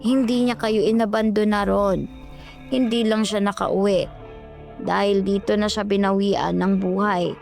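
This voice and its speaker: A woman playing a grave, deliberate old ghostly grandmother who reveals dark truths in a horror audio drama. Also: medium pitch, flat delivery, vocal fry, faint music behind. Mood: solemn revelation.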